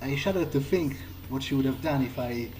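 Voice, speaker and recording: A man doing an in-character let's play, thinking aloud in a halting, hesitant way and repeating words. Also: medium pitch, a little mellow, faint music behind, rough recording. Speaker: gamer playing in character.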